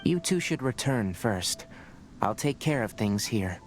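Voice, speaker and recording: A man voicing a calm, scholarly young forest ranger who speaks in a measured, explanatory, matter-of-fact way in a video game. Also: mid-range voice, lightly acted. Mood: firm. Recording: game soundtrack behind. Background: game music.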